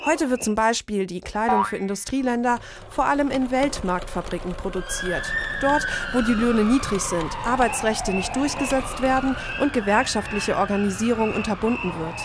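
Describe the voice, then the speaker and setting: A woman narrating a scripted explainer in a measured, matter-of-fact way. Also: a little high, very noisy.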